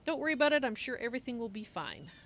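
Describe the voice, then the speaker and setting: A woman's voice: a little high, sing-song, very fast, fairly bright tone, a little hiss or hum, pitched above the performer's own voice. Woman voicing hesitant, wry cat-folk adventurer. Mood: polite, wry. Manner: speaks of herself in third person, hesitant, wry. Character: polite, hesitant female cat-folk adventurer in fantasy game.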